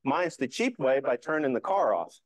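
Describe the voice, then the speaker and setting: Guy doing a casual club presentation, folksy n jokey, medium pitch, pretty dry sound. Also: lively intonation, moderate pace, no echo. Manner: folksy, joking, hands-on explaining. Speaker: amateur radio club presenter. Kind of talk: informal slide talk.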